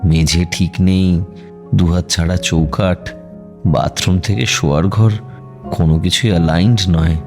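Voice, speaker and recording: A despondent young man in an audio drama. His voice is fairly low, subdued and respectful, and music plays clearly behind it. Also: steady tone, a little slow. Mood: resigned.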